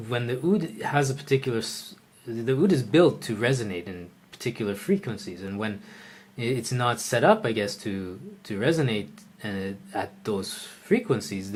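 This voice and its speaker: A man teaching the oud in a live online lesson, answering viewer questions in a relaxed, conversational way, thinking aloud with frequent fillers and restarts. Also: mid-range voice.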